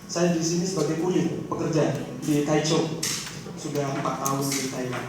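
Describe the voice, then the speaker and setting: A man's voice: fairly high pitch, flat delivery, moderate pace. A man from Indonesia speaking at a writing seminar, telling his story with many fillers and self-deprecating jokes. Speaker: guest speaker, novelist. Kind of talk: casual talk at a seminar. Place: seminar room. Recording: echoey room, distant mic, noisy.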